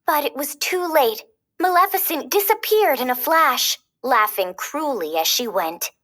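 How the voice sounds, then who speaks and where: A woman reading a children's storybook aloud as a storyteller, expressive and clearly paced. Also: sits high, unhurried.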